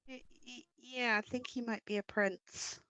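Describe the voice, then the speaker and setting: A woman's voice: a little high, steady tone, unhurried, sharp tone, clean tone, no room sound, poor audio. A woman playing a tabletop roleplaying game, chatting casually and a little hesitantly as a player. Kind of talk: casual chat, light roleplay.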